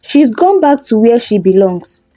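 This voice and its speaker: A woman's voice: a little high, very animated, a little slow, mellow, no echo, faint music behind. Woman acting a huffy, resentful village wife. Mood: huffy resentment.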